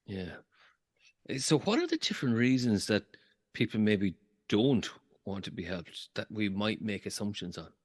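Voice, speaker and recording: A man hosting a podcast interview, asking questions and sharing a personal story in a soft-spoken way. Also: fairly low pitch, sing-song, moderate pace, in a large hall. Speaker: podcast host.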